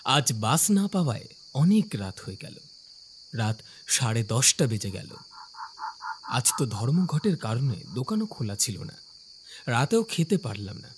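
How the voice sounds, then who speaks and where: A man's voice: mid-range voice, darkish tone, a little hiss or hum. A man voicing a curious young office worker who narrates his own ghost story in a cartoon, with acted dialogue. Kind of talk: scripted first-person narration with acted dialogue.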